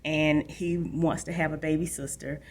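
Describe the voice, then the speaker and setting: A woman's voice: low voice, flat delivery, moderate pace, a little hiss or hum. A woman hosting a book program for children, explaining things directly to young listeners and reading aloud. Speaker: library book show host. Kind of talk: informal book talk and read-aloud for children.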